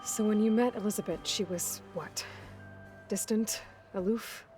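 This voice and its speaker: A young woman hunter from a video game drama, played by an actress, mid-pitched and determined. The delivery is blunt, probing and earnest, with defiance under it and music behind. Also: measured pace, dark tone, lightly acted. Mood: probing, guarded.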